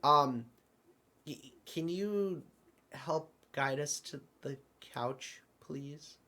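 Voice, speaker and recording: A man playing an excitable, apologetic multiverse traveler in an audio drama, stammering and fussing through his lines. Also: a little high, very slow, full character voice. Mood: flustered, apologetic. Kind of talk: hobby audio drama dialogue.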